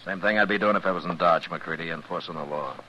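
An actor playing a stern, laconic frontier marshal in a 1950s radio western. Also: male voice, low-pitched, fast, bright, forceful tone, dull audio. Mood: stern, commanding. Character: stern, authoritative frontier marshal, laconic lawman narrating his case. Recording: vintage radio recording, band-limited.

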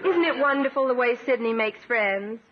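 A woman's voice: on the high side, lively intonation, moderate pace, close to an everyday voice. A woman playing a sweet, naive, doting sweetheart in a 1950s radio comedy. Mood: coy, affectionate. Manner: coy, gushing. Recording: muffled, hissy old radio recording.

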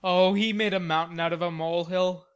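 A woman voicing a cheerful, theatrical young gentleman who teases and exclaims, as character dialogue in an audiobook. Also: fairly low pitch, fairly even intonation, a little fast, clear, resonant tone, close to an everyday voice. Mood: easygoing. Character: cheerful, teasing young gentleman, tall and melodramatic.

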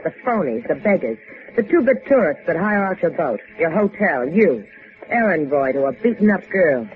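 A woman's voice: fairly low pitch, very animated, moderate pace. An actress playing a worldly, dry, teasing woman in a 1950s radio drama, with a wry, pointed manner. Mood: cool, probing. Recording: narrow-band old 1950s radio recording, hissy.

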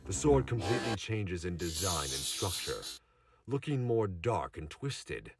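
A man's voice: deep voice, sing-song, measured pace, over music. A man narrating a scripted audio drama, recorded at some distance from the microphone with noise behind him. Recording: distant mic with background noise.

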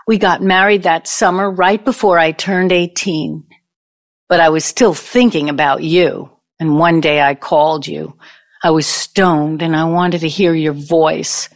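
An actress playing a bitter, incredulous ex-girlfriend, blunt and sardonic, in an audio play. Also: fairly low pitch. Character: bitter, incredulous middle-aged ex-girlfriend, a pianist.

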